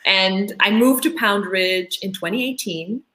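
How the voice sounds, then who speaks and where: A woman's voice: mid-range voice, measured pace, pushed and bright, low-quality recording. Chatty woman from Tanzania, eager. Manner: eager and chatty, many "like" and "you know" fillers. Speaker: school board candidate. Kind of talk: casual interview answers.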